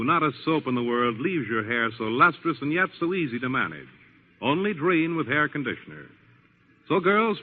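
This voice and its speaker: A man's voice: medium pitch, narrow, tinny audio. An American man announcing a scripted 1940s radio commercial as a salesman of that era. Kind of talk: 1940s radio advertising pitch. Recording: band-limited vintage 1940s radio recording.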